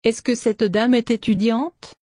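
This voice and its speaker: A woman reading short French questions for a language lesson in a clear, scripted way. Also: on the high side, steady tone, unhurried, dark tone, no echo, clean studio sound. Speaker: French dialogue reader.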